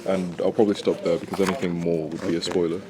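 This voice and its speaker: A man who runs a comics company, explaining its history in a measured, casual way. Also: low voice, little pitch movement, a little mellow. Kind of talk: casual interview answers. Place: busy studio workspace. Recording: crowd chatter behind.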